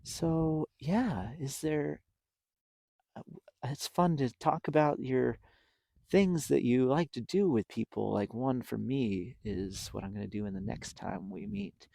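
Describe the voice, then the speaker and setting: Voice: mid-range voice, unhurried, gravelly, mellow, dry recording. A storytime host reading and chatting to young children in simple words, with questions and explanations.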